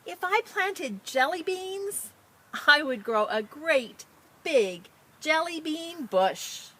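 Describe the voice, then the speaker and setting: A woman who works as a teacher librarian, talking and reading a picture book to children in an expressive, enthusiastic way. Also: high voice, slow. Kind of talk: children's story read-aloud with casual introduction.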